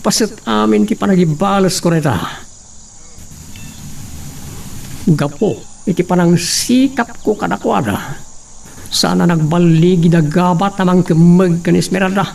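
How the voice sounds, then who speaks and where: A man playing a sly, cringing henchman of a rich family in an Ilocano audio drama, with a creaky voice. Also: high-pitched, normal speed, darkish tone, a little hiss or hum. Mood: brooding, resentful. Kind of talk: Ilocano radio-style audio drama acting.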